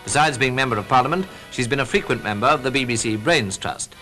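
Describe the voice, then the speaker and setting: A British man narrates a 1940s newsreel. His mid-pitched voice delivers scripted commentary on a vintage soundtrack, with music running behind him. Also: fast talker, pushed and bright.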